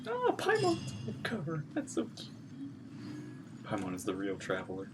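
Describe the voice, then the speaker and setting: A woman's voice: extremely low pitch, huge pitch swings, moderate pace, noisy background. A woman streamer giving casual reactions while playing a game. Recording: game audio and music behind.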